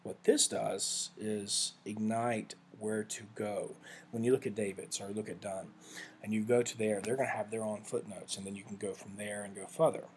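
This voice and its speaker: A man with a fairly low voice talks through a software review in a loose, conversational way, doubling back and fixing his words as he goes. A faint ambient hiss sits under his speech from a desktop mic. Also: steady tone, normal speed. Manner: rambling, self-correcting, conversational. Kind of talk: casual screen-share walkthrough.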